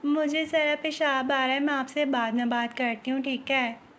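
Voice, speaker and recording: A woman's voice: high-pitched, flat delivery, moderate pace, fairly bright tone. A woman making casual small talk as one side of a phone call, with repeated short acknowledgements and simple questions.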